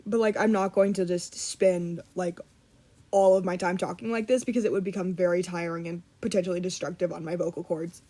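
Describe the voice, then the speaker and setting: A young woman vlogging in a casual, self-deprecating ramble, laughing often and swearing offhand while trying to make her voice deeper. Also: fairly even intonation, mellow. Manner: deliberately lowering her voice. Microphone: computer mic.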